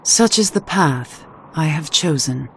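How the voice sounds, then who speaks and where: A woman playing a proud, lonely, resolute witch in a video game, with formal and measured speech. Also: deep voice, lively intonation, a bit bright, slight background noise, heavily acted. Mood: resolute, somber. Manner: formal, measured, archaic phrasing. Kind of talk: scripted video game voice acting.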